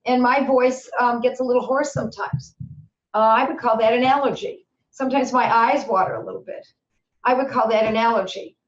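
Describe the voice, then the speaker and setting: A middle-aged woman teaching homeopathy, answering questions in a chatty, animated way and acting out people's worries, her voice a little hoarse at times. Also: on the high side. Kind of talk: webinar Q&A answers. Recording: echoey, dull lo-fi webinar audio.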